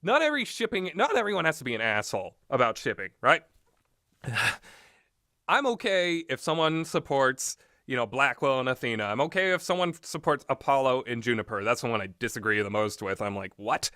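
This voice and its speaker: A man's voice: mid-range voice, big pitch swings, moderate pace, sharp tone, no echo, clean studio sound. A man giving casual, rambling commentary in a blunt, opinionated way, swearing freely as he goes. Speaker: solo commentator on visual novels.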